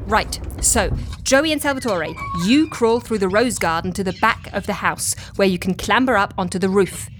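An actress in a comedy audio drama playing an exasperated, organized woman agent, with an animated, instructive manner. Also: mid-range voice, moderate pace, few pauses, soft background music, natural voice. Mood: brisk, commanding.

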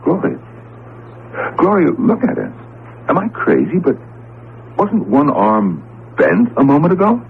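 An excitable, coaxing husband in a 1970s radio drama. His gravelly, low voice leaps about dramatically as he stammers a little, on a narrow old broadcast recording. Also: unhurried, pauses often, slight background noise, exaggerated voice. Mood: bewildered. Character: eager, boyish husband, a professor of Egyptian history.

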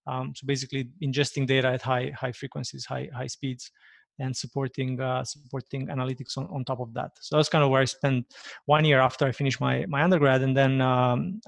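Gravelly Romanian-accented man, dry sound. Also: mid-range voice, level tone, no room sound. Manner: measured, repeats words while thinking. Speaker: podcast guest, investor. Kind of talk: casual podcast interview.

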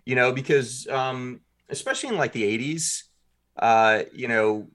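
A man's voice: medium pitch, unhurried, fairly bright tone. A man guest on a podcast, a writer and producer, chatting casually and rambling with many fillers and restarts.

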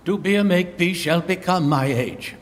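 A man's voice: sits high, moderate pace, natural voice. An older man playing a stern aged nobleman and father, insistently exclaiming Shakespearean verse. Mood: stern urging. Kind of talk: Shakespearean verse drama acting. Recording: long echoing hall reverb.

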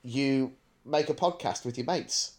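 A man with a medium-pitched voice hosts a casual podcast discussion. He talks in a discursive way, working out his thoughts as he goes. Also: unhurried, a little mellow. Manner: discursive, thinking aloud. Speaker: podcast host. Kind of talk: casual podcast review chat.

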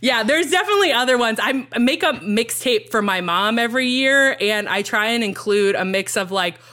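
Bright-voiced woman chatting on a podcast. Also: fairly high pitch, a little fast. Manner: animated storyteller, laughs often. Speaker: guest. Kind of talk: casual podcast chat.

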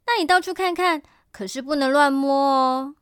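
A woman playing a patient, friendly young shop clerk in an amateur radio drama, gently encouraging as if to a child. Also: sits high, moderate pace, no echo, studio quality. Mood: friendly, helpful.

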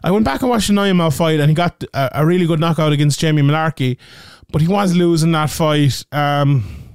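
A man's voice: a little high, fairly quick, darkish tone, clear, resonant tone, no room sound, studio quality. An Irish man hosting a casual betting show, thinking aloud and checking the odds as he talks. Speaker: host. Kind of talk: casual betting picks talk.

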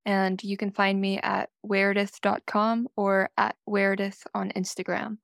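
A young woman from California, a design student guest, speaking in a thoughtful, measured way. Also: medium pitch, flat delivery, vocal fry, a bit bright, dry recording, clean studio sound. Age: young adult. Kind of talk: casual podcast chat.

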